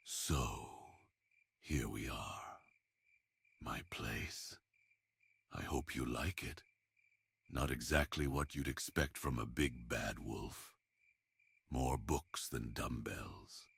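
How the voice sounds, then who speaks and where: A man voicing a big, gentle werewolf in an ASMR roleplay, speaking in an earnest, bashful, tender way. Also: rumbling low, slow, gravelly, pauses often, breathy edge, voice pushed down, exaggerated voice. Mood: shy, intimate. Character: big, muscular, gentle, bookish romantic male werewolf.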